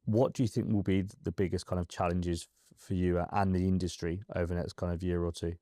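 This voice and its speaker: A male podcast co-host puts relaxed questions to his guest. His voice is very low and mellow. The recording is dry and close. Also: a little fast, no echo. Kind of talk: interview questions.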